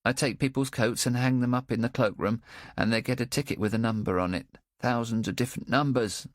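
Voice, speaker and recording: A man voicing an anxious, simple-minded young boy in an audiobook, talking in a halting, earnest way. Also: mid-range voice, no room sound, studio quality, voice pushed up, natural voice. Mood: earnest chatter. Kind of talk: audiobook character voice.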